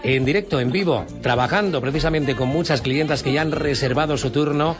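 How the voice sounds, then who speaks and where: A man's voice: a little high, brisk, music in the background. A man with a Spanish accent from Spain, presenting a live promotional interview on the radio.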